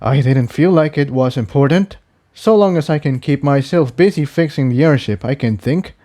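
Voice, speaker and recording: A man's voice: medium pitch, normal speed, mellow, voice pushed up, close to an everyday voice. A man voicing an exhausted, haunted male unicorn pony, weary and strained, in a story reading. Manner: weary, strained, sometimes sardonic.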